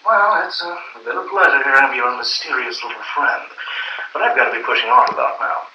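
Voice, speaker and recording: A man's voice: medium pitch, expressive, fairly quick, highs cut off, noisy background, natural voice. A man playing an idle, breezy young upper-class playboy in a live old-time radio drama, airy and posh. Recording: roomy, distant live stage recording.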